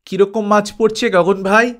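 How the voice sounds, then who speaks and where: A river fisherman from a storybook, voiced by a man. He hollers across the water in a raised, carrying shout, pitched well up. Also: steady tone. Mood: calling out. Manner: shouting, drawn-out calling. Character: fishermen calling out across open river at night.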